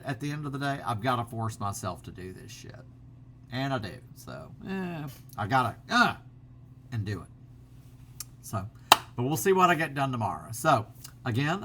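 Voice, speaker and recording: A man's voice: mid-range voice, fairly bright tone. A middle-aged man talks loosely into a laptop camera mic at home, his voice sitting in an ordinary middle register. He rambles casually, full of ums and restarts, over a faint background hum. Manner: rambling, many fillers and restarts. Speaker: vlogger. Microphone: built-in laptop camera mic.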